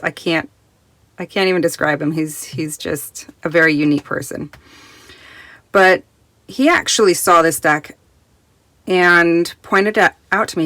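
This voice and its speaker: A woman reviewing a tarot deck in casual, rambling chat full of restarts, recorded in a quiet closet at home, her voice still recovering from being lost. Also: lowish voice. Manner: chatty, rambling with many restarts and fillers.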